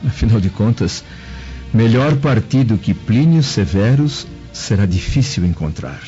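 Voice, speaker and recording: Mellow, fatherly Roman senator. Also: fairly low pitch, expressive, measured pace. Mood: amused, musing. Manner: musing, stately. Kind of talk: radio drama acting. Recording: muffled lo-fi, music underneath.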